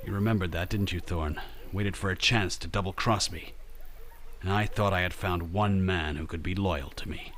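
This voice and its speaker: A man's voice: low-pitched, steady tone, normal speed, fairly bright tone, a little hiss or hum. An actor playing a rich American man and his crooked double, delivered emphatically in old radio drama style. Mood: bitter, subdued.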